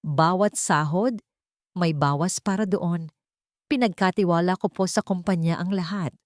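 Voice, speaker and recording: A woman voicing a humble elderly male construction foreman in an audio story, soft, respectful and pleading with a slight tremble. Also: fairly low pitch, unhurried, no room sound, studio quality, natural voice.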